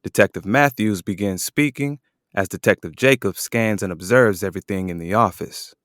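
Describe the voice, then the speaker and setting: A man's voice: on the low side, moderate pace, fairly bright tone, no echo, studio quality. A man giving scripted, descriptive narration as the narrator of an audio drama.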